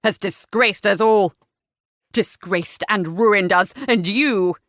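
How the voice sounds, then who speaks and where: A woman voicing an angry, blustering middle-aged gentleman father in a historical romance audiobook, stern and accusing. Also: medium pitch, slow, dry recording, clean studio sound. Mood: bitter, furious. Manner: barking, spitting, stern.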